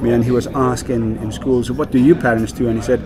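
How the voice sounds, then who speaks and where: A man's voice: mid-range voice, flat delivery, fast, crowd noise. A Danish man working as a whisky brand ambassador, speaking English in a casual interview, with many fillers and repeated words. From Denmark.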